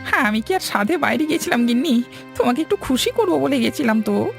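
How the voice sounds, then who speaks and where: A woman's voice: high-pitched, animated, fast talker, creaky, faint music behind. A woman voicing a frightened, whimpering village husband in a horror-comedy cartoon, pleading and panicky. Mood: plaintive.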